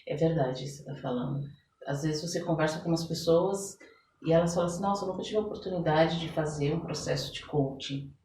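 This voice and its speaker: A woman's voice: low voice, quick pace, mellow, slight background noise. A Brazilian woman talking as an interview guest, explaining her coaching work conversationally and often checking for agreement. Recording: roomy echo, distant from the mic.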